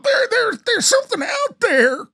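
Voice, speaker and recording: A man's voice: extremely high pitch, sing-song, measured pace, vocal fry, bright, forceful tone, pitched above the performer's own voice, full character voice. A man voicing an anxious, reluctant grandson in a radio play, whining and pleading in stammering outbursts. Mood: panicked. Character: anxious, whiny, reluctant grandson, panicky and exasperated. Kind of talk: radio play character acting.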